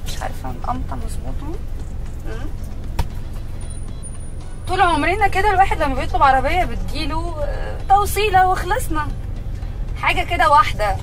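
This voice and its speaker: A woman's voice: high voice, sing-song, fairly bright tone, soft background music, low-quality recording. A young woman with an Egyptian accent, bantering brashly with customers as a prank host inside a car. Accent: Egyptian Arabic. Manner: brash, bantering, quick comebacks. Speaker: prank show host posing as a driver-grocer. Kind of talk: hidden-camera prank banter.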